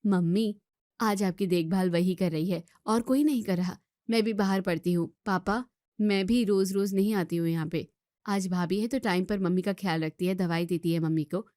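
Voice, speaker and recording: A woman's voice: lowish voice, moderate pace, warm tone, no echo, clean studio sound, lightly acted. A woman playing an outspoken young student in a family drama, scolding and arguing with emphatic questions. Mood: firm, reproachful. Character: outspoken young student daughter defending her sister-in-law. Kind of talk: Hindi family drama voice acting.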